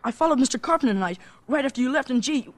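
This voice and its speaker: An actor playing an excited, earnest young boy in a radio drama, gushing eagerly in boyish slang. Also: high-pitched, very fast. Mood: excited, insistent.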